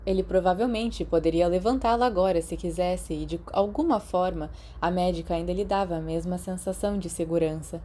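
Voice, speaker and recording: A woman's voice: lowish voice, steady tone, brisk, few pauses, dark tone. A woman with a Brazilian accent reading a scripted story as an audiobook narrator. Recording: clearly noisy background.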